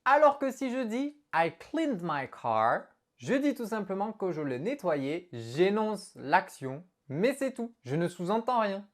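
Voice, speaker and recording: A woman teaching an online English lesson in an animated, expressive way as she explains to viewers. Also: mid-range voice, a little slow, hardly any pauses.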